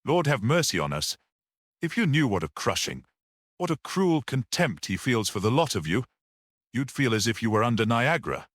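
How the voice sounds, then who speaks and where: An earnest priest-detective played by a male narrator in a mystery audiobook. He explains with rhetorical fervour and a very lively, swinging pitch. The sound is close and dry. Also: normal speed, no echo. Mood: earnest, wondering. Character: earnest priest-detective, gentle yet explosively passionate. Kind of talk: dramatic audiobook character reading.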